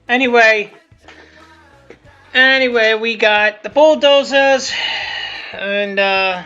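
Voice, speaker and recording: A woman with a bright, fairly high voice talks off the cuff over a video. She rambles, backs up and restarts her sentences. The sound is dull and lo-fi, with music playing underneath. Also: clear, resonant tone. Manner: rambling, halting, repeats and restarts sentences often. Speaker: creator of a fan abridged series giving commentary. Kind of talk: casual unscripted video commentary. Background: music from the video being commented on.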